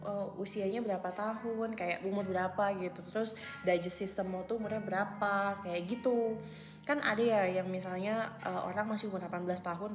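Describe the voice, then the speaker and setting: A woman in her twenties talking casually to camera at home in a vlog, chatty and full of fillers, often slipping into English phrases. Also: fairly low pitch. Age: 20s. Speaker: vlogger. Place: at home, indoors. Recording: echoey room.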